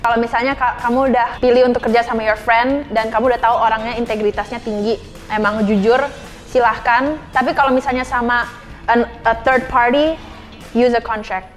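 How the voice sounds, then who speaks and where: A woman's voice: fairly high pitch, fairly even intonation, fairly quick, faint music behind. A woman in her twenties, a freelance artist, chatting casually about money and mixing English phrases into her speech. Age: 20s. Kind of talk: casual chat.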